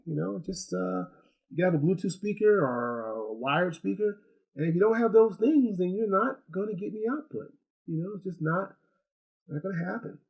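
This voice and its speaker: A man with a fairly high-set voice and a soft, mellow tone talks through a gadget review off the cuff. He rambles in a folksy way, circling back and repeating phrases to drive points home. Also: low-quality recording. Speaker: tech reviewer and host. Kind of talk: casual, unscripted product review.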